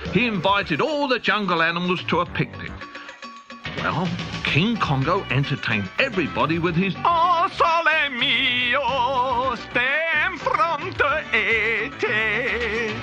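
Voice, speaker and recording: A man narrating a children's storybook in a playful, animated way. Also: a little high. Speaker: audiobook narrator. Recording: dull cassette tape with music.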